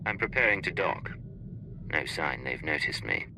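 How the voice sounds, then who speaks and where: An actor playing a cool, bitter secret agent in a space opera video game, speaking in measured, clipped lines. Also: male voice, a bass voice, lively intonation, noisy background. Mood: focused. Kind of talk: cinematic video game acting.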